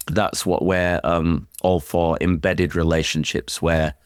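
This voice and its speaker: A man speaking as a sports chaplain in an interview, explaining things in an earnest, reflective and measured way. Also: low-pitched, little pitch movement, clear, resonant tone, dry recording, studio quality.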